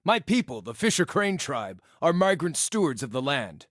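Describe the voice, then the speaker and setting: Small scrappy druid creature for a fantasy game, high voice, swoops all over, sardonic and crude. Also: male voice, normal speed, no room sound, clean studio sound. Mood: wry, matter-of-fact. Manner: sardonic, playful, theatrically expressive. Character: short, scarred, wisecracking wild orlan druid, crude and irreverent. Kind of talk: scripted fantasy game dialogue.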